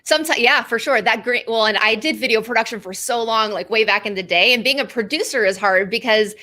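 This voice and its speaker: Bright-voiced chatty woman in her thirties. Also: a little high, fairly quick. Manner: chatty, says "like" often, laughs easily. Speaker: guest, video marketing expert. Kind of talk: casual interview chat.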